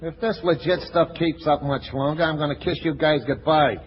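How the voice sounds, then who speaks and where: A man's voice: on the high side, fairly even intonation, normal speed, natural voice. World-weary henchman, male actor, wry wisecracks, 1940s radio comedy, dull vintage sound. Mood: wry griping. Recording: dull, faintly noisy 1940s radio recording.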